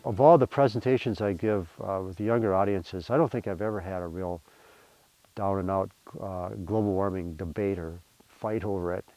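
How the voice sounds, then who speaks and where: Brief: deep-voiced man presenter, reflective, relaxed. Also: normal speed, low-quality recording.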